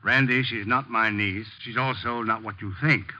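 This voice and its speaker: An actor playing a shamefaced older uncle, flustered and theatrical, in a 1950s radio drama. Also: male voice, mid-range voice, steady tone, moderate pace, pushed and bright. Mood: rueful, agitated. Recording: muffled old 1950s radio recording.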